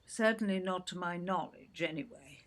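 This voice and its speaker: A woman's voice: lowish voice, moderate pace, a bit bright, lightly acted. An actress playing an older English mother in an audio play, indignant and brusque, condescending as she defends her son. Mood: dry. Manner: clipped, brusque, condescending, calls listener "dear".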